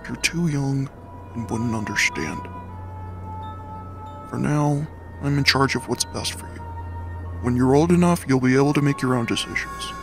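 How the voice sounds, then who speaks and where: A man voicing a laid-back, protective eighteen-year-old skeleton big brother in a comic dub, with a gravelly voice and casual drawl. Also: medium pitch, moderate pace, pauses often, over music, lightly acted. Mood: tender, apologetic. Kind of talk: dramatic comic dub acting.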